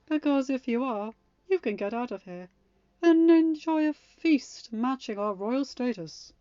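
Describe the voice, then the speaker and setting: A woman's voice: high-pitched, lively intonation, measured pace, dark tone, smooth, dry recording, highs cut off, voice pushed up. A woman voicing a smug, scheming male pony suitor who talks pompously and mockingly.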